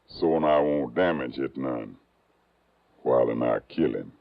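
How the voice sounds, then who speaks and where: Huge, very deep-voiced swamp killer. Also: male voice, creaky, full character voice. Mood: menacing, pleased. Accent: rural Southern US. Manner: slow, ungrammatical backwoods talk. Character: huge menacing backwoods swamp killer, slow and simple. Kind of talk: 1950s radio drama acting. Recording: muffled old radio recording, faint music.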